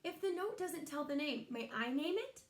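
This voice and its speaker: A woman's voice: high voice, very animated, moderate pace, echoey room, pitched above the performer's own voice. A woman voicing a timid, polite young girl in a storybook who tries to sound self-assured in a small voice. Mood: earnest, hopeful.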